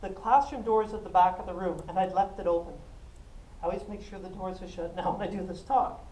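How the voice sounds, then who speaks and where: A woman giving a self-defense talk, animated and jokey, often laughing and acting out what people said. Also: mid-range voice, fairly quick. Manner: animated, jokey, laughs a lot, acts out quotes. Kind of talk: lively storytelling talk to a class. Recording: echoey room, mic at a distance, faint noise.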